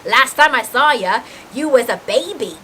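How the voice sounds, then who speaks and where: A woman's voice: sits high, very animated, unhurried, a bit bright, heavily acted. A woman voicing a friendly, blunt giant man with folksy speech in a storybook reading. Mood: friendly, warm. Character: gruff, friendly giant man with a rustic way of talking. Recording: hissy background noise.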